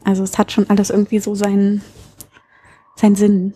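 A woman's voice: medium pitch, completely flat delivery, brisk, warm tone, a little hiss or hum. A woman in her thirties from Berlin, chatting casually and openly as the guest in an interview. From Berlin, Germany. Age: 30s. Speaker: interview guest, bar owner.

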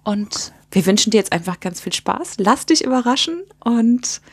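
A woman's voice: fairly high pitch, animated, moderate pace, slightly rough. A woman hosting a podcast, chatting casually and asking the guest friendly questions. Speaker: podcast host and interviewer.

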